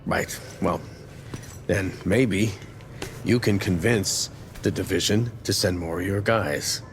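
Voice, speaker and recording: An actor playing a middle-aged New police captain in a video game, blunt, streetwise and skeptical. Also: male voice, low voice, slow, slight background noise. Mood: worried, grudging. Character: gruff, skeptical New York police captain, grudgingly warm. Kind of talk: video game cutscene acting.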